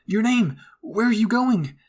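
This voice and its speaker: Man voicing an anxious, high-pitched boy soldier. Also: expressive, unhurried. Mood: alarmed. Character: earnest anxious teenage boy soldier strategist. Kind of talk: anime fan-fiction roleplay.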